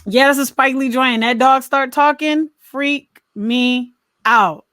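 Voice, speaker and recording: A woman's voice: high voice, fairly even intonation, slow, fairly bright tone, no room sound, studio quality. A woman co-hosting a podcast in casual conversation, often repeating words and phrases as she reacts. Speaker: podcast co-host. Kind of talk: casual chat.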